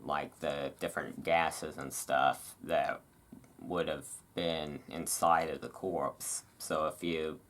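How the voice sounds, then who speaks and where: A host doing a relaxed ASMR-style reading aloud, breaking off into informal, musing asides. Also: very deep voice, slow pace. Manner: informal, chatty, musing asides between read passages.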